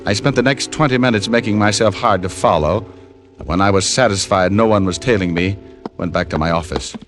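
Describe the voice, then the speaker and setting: A man acting as a hard-boiled American private eye from an old radio mystery. His voice sits fairly low and he delivers wisecracks deadpan. Clear music plays along with the confident, scripted delivery. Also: fairly quick, natural voice. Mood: wry narrating. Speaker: private detective and narrator. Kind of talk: old-time radio detective drama, scripted narration and dialogue.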